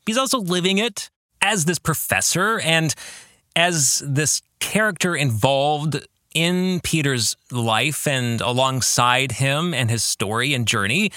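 A man's voice: fairly high pitch, bright, forceful tone, dry recording, studio quality. A man hosting a reflective faith book discussion, earnest, putting questions to the listener. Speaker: podcast host and book author leading a study.

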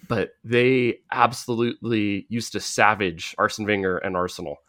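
A man from the Bay Area with an American accent, hosting a casual sports podcast in a halting, rambling, filler-heavy way. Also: lowish voice, fairly bright tone, dry recording, studio quality. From San Francisco Bay Area, United States. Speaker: podcast host.

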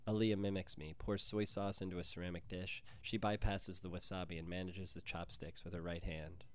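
A man's voice: low-pitched, little pitch movement, a little fast, slight background noise. A man reading his own first-person short story as scripted narration.

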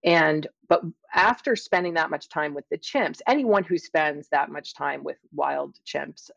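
A middle-aged woman speaking as an interview guest, explaining and telling her experiences in a thoughtful, halting way with drawn-out emphasis. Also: low-pitched, gravelly, a bit bright, no echo, muffled recording. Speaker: interview guest, scientist and author. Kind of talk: conversational interview, personal storytelling and explanation.

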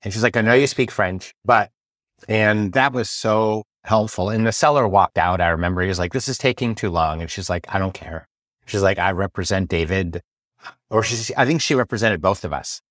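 A man's voice: fairly low pitch, normal speed, a bit bright, no echo, studio quality. An American man hosting a podcast, chatting casually and telling anecdotes. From United States. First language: English. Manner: chatty, tells anecdotes with quoted voices. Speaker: podcast host. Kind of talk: casual interview chat.

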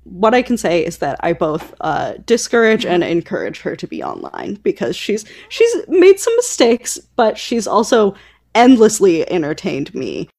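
A woman's voice: mid-range voice, wildly swooping pitch, moderate pace, creaky, darkish tone. A woman co-hosting a podcast in casual chat, with a playful, wry way of talking. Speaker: podcast co-host.

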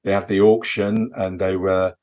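A man with a fairly low voice talks informally, as if to a friend on a podcast. He feels his way through sentences in a hesitant, rambling fashion, padding them with small filler phrases. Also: little pitch movement, normal speed, darkish tone. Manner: hesitant, rambling delivery full of "you know" and "kind of". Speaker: podcast co-host. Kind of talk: casual off-the-record chat, recounting a convention visit.

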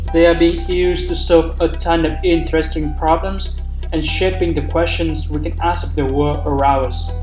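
A man hosting a podcast, reading a scripted show intro in a measured, formal way. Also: fairly high pitch. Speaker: podcast host. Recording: roomy voice over guitar music. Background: plucked guitar music.

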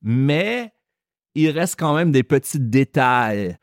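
A man with a Quebec French accent hosting a podcast lesson, explaining slowly and carefully. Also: medium pitch, big pitch swings, no echo, studio quality. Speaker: French teacher and podcast host.

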